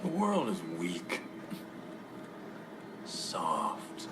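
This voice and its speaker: Deep, menacing militant cult leader. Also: male voice, huge pitch swings, over loud music, full character voice. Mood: cold contempt. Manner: ominous, deliberate, preaching. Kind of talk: dramatic game cutscene monologue.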